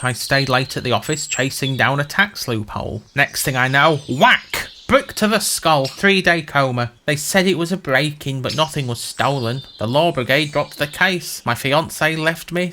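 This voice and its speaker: A man's voice: a little high, moderate pace, few pauses, pushed and bright, slight background noise. A man voicing a dull, meek male accountant in a comic fantasy story, fussy and easily affronted. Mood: resigned, rueful.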